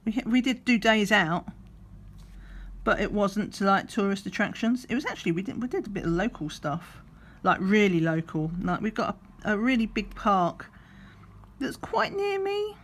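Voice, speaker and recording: A middle-aged woman, slightly croaky, chatting in a rambling way while doing a craft, sometimes counting under her breath. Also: mid-range voice, animated, moderate pace. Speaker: crafting vlogger. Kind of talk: relaxed chatty craft vlog. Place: at a craft table indoors.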